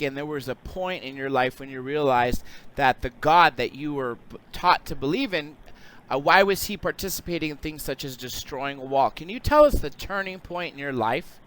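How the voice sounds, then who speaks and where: A male interviewer with a rather high voice asks his question politely, hesitating and repeating a word here and there. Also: a bit bright, clear, resonant tone. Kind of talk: radio interview.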